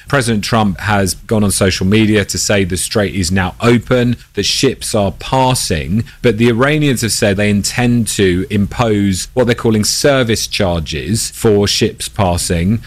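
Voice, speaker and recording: A male correspondent explains a story in a deliberate, analytical manner. His voice is fairly low. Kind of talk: news report.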